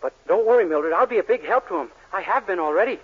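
A man's voice: sits high, lively intonation, fast talker, slightly rough. A man playing a naive, earnest, dim young drugstore clerk in a 1940s radio comedy. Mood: eager, naive. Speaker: sitcom lead actor. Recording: muffled 1940s radio recording.

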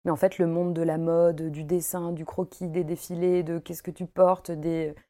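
Thirties woman, craft guest, mellow and lowish, talkative with easy laughter. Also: level tone, moderate pace. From Paris, France. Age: 30s. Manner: chatty, many fillers, laughs easily. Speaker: guest, costume maker and embroiderer. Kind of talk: casual interview chat. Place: her home.

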